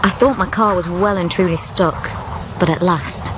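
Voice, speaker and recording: An actress playing a grieving young widow, narrating a radio drama in a measured, lyrical, introspective way. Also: female voice, fairly low pitch, background noise, lightly acted. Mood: reflective, absorbed. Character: young widowed woman, grieving, reflective and solitary. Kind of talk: scripted first-person radio drama narration. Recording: music behind the narration.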